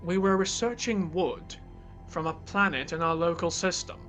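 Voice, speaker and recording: A man's voice: high-pitched, moderate pace, fairly bright tone, soft background music. A man playing a blunt, guarded spaceship captain in a sci-fi audio drama. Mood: grim. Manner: blunt, skeptical.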